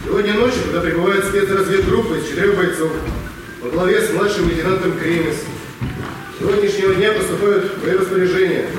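Man playing army colonel, radio play, slightly high voice, clipped and commanding, wry, distant echoey recording. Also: brisk, natural voice. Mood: dry, commanding. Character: gruff wry army colonel briefing a soldier. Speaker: amateur actor. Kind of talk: amateur radio play acting. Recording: echoey room, distant mic, background hiss.

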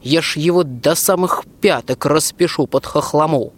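A man's voice: fairly high pitch, normal speed, close to an everyday voice. A young man playing a bold, hearty soldier husband in a rhymed radio play. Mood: indignant. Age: young adult. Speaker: school student actor. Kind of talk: theatrical verse radio play.

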